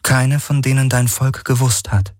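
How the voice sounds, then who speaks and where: Calm knowing artificial presence, as a man puts it on. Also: mid-range voice, measured pace, no room sound, studio quality, voice pushed up, lightly acted. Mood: explaining.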